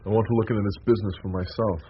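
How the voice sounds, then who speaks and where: Quick-talking, earnest priest. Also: male voice, on the low side, fairly even intonation, slightly rough. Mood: explaining. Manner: courteous, insistent. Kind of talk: radio drama dialogue. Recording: noisy, phone-like radio recording.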